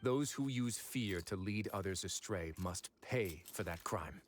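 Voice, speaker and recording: Composed warden, lowish pitch, measured, reflective, explains at length. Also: male voice, lively intonation, slight background noise. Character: composed adult male warden, calm and calculating. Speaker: game character. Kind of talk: game voice acting. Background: soft game music.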